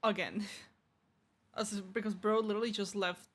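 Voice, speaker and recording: A woman streaming a game, giving casual, expressive live reactions in teasing slang. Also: mid-range voice, moderate pace. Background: game music.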